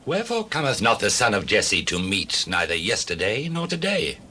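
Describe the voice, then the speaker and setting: An actor playing a wrathful, domineering king in a Bible audio drama, with a raspy voice and stern, archaic, declamatory delivery. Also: on the low side, wildly swooping pitch, moderate pace, bright, forceful tone, heavily acted. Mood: stern, suspicious. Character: wrathful, domineering king berating his son.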